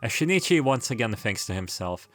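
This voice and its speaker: A man's voice: mid-range voice, big pitch swings, a little fast, a bit bright. A man giving a casual retrospective narration as a video essayist, with wry asides and rambling commentary. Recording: music behind the voice. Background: background music.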